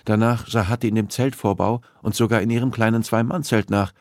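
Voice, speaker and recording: Male audiobook narrator, fairly low register, dry sound, scripted dramatic suspense storytelling. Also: fairly even intonation, a little fast, no echo.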